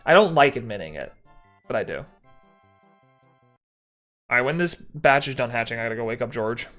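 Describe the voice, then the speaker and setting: Young male streamer with a light Texan accent, casual and meandering, talking to chat with soft background music. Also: mid-range voice, animated, moderate pace. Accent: mild Texan. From Texas. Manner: rambling, self-correcting, chatty with chat.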